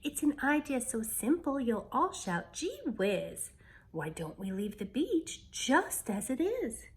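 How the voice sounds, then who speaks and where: A woman voicing eager, cheerful children in a storybook with an excited, chanting manner. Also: sits high, slow, exaggerated voice. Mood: excited, persuasive. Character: chorus of eager, cheerful kids.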